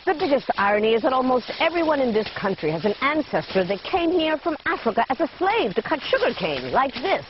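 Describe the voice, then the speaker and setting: A woman explaining things clearly in an interview. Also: high-pitched, sing-song, fairly quick, few pauses, lo-fi sound, a little hiss or hum.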